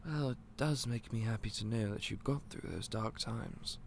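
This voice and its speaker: An actor playing a gentle, clumsy candy shop owner who stammers and speaks in a polite, old-fashioned way. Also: male voice, on the low side, normal speed, vocal fry, background noise. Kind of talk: audio roleplay acting.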